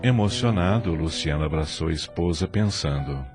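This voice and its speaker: Deep-voiced expressive male narrator. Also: normal speed, over loud music. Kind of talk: scripted dramatic narration.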